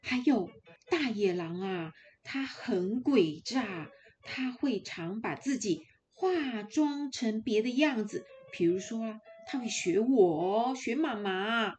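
A woman voicing a loving mother goat in a storybook, gentle but emphatic as she warns her kids. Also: on the high side, animated, takes it slowly. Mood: caring warning.

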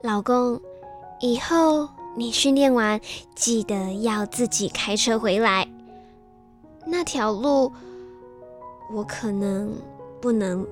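A woman's voice: fairly high pitch, slow, pauses often. A woman playing a devoted, heartbroken young woman in a radio drama, speaking tenderly and sorrowfully. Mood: tender, sad. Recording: music behind the voice.

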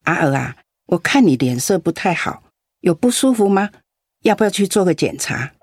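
A woman's voice: lowish voice, very animated, normal speed, darkish tone, slightly rough, heavily acted. An actress playing a chatty, frank and caring elderly neighbour woman in a radio drama. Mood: concerned.

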